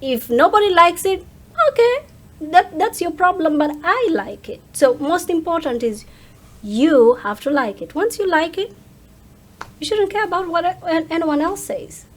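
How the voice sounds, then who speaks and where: A woman's voice: very high-pitched, animated, normal speed. A woman in her thirties talking casually as an interview guest, emphatic and repeating phrases to drive them home. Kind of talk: casual chat.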